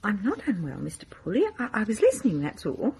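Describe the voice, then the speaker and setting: A woman's voice: medium pitch, wildly swooping pitch, brisk, creaky, darkish tone, husky, full character voice. An actress with an English accent playing a timid, earnest, genteel woman in a radio drama, speaking hesitantly and repeating words. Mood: flustered. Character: timid, earnest, sensitive single woman who loves classical music.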